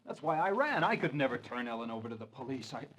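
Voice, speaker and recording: A man's voice: mid-range voice, big pitch swings, quick pace, fairly bright tone, a little hiss or hum. A male actor playing an earnest, pleading young fugitive with an American accent, in melodramatic 1940s radio style. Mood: pleading, desperate. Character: desperate, earnest, lovestruck young man on the run.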